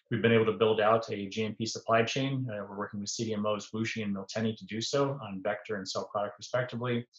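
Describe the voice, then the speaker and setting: A man's voice: lowish voice, fairly bright tone. A man introducing his biotech company on a panel, listing facts in a businesslike way with some stumbles. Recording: roomy, dull lo-fi sound.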